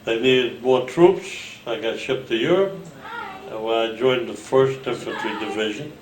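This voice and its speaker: Roomy-sounding New man in his eighties. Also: mid-range voice. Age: 80s. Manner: rambling, halting storyteller with many restarts. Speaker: World War Two veteran recounting family history. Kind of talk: casual oral history interview. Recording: roomy home recording with faint hiss.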